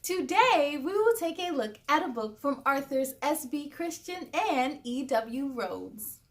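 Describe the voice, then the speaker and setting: A woman hosting a children's read-aloud, speaking in an expressive, deliberate, sing-song way to young listeners. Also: high voice, dry recording. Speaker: host of a read-aloud story series. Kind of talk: expressive children's storybook reading.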